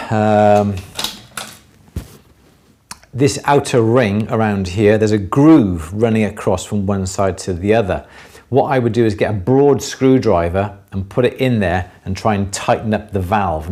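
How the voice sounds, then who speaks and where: Low-voiced Welsh man explaining. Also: measured pace, a little mellow. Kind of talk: live demonstration and Q&A, casual explaining.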